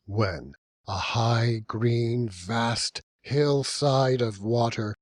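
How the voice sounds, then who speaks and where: Guy reading an old novel for an audiobook, slow and dramatic, pauses a lot, really dry close sound. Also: mid-range voice, no room sound. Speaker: audiobook narrator. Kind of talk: scripted literary narration.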